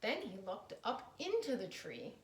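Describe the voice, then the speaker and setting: A woman reading a children's story aloud with deliberate, dramatized phrasing for young listeners. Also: mid-range voice, wildly swooping pitch. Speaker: storybook reader. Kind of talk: expressive children's read-aloud narration. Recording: echoey room, faint noise.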